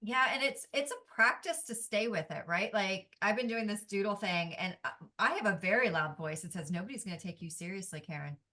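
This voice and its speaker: A woman speaks as a guest in a casual conversation, earnest and reflective. Her voice is bright and forceful, and she builds her points in short phrases. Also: mid-range voice, expressive, brisk. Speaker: guest, executive function coach and doodle artist.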